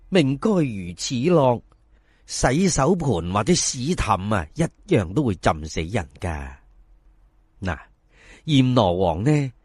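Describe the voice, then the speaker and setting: A man's voice: mid-range voice, a little mellow, breathy edge, no echo, clean studio sound, voice pushed down, heavily acted. A man voicing a talkative, fatalistic old village mother in Cantonese, coaxing and persuading with folk sayings in a dramatised folk story. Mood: philosophical, scolding.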